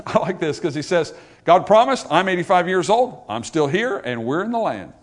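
Man, preacher, sermon, fairly high-pitched, loud peaks and hushed drops. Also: big pitch swings, normal speed. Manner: swings from loud emphatic peaks to quiet low asides.

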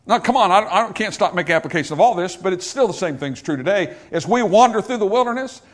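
A man preaching a sermon, swinging from loud emphatic peaks to quiet low asides. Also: expressive, fast talker.

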